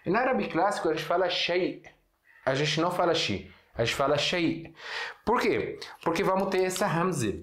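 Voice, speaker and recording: A man from Syria with a Syrian Arabic accent, a native Arabic speaker, teaching a casual online lesson. He explains slowly, drags out example words, and uses many fillers and restarts. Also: a little high, lively intonation, fairly bright tone, echoey room.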